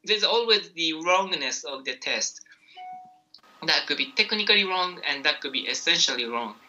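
Japanese-accented man with a bright, muffled voice. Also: a little high, animated, normal speed. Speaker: infectious disease doctor. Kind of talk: press conference answers.

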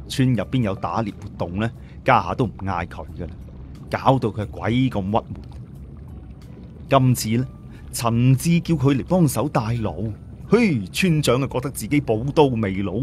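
A man's voice: medium pitch, darkish tone. A man with a Cantonese accent narrating an audiobook story in a colloquial way, with conversational asides.

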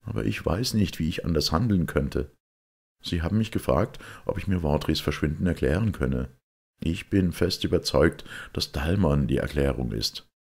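A man's voice: a bass voice, moderate pace, vocal fry, a little mellow, husky, voice pushed up, full character voice. A man voicing a bitter, impatient young secretary, speaking urgently and emphatically in an audiobook detective story. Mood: bitter, uneasy.

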